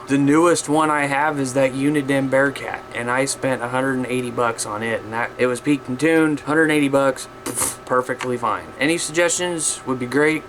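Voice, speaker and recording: An American man chatting casually and unscripted to his viewers, rambling in a folksy way and repeating himself. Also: medium pitch. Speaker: amateur radio hobby vlogger. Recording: clear steady background noise.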